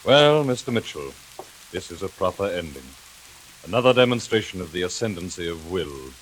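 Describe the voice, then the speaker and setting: An actor playing a cold, courteous crime boss in 1940s radio drama, measured and formal, on a hissy old recording. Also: male voice, low-pitched, sing-song, poor audio. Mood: smug calm.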